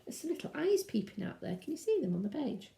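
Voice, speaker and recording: Woman storyteller reading to kids, mellow n creaky voice, sing-song, echoey room. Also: sits high, sing-song, fast talker. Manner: sing-song, addresses young listeners. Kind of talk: expressive children's storybook reading with asides.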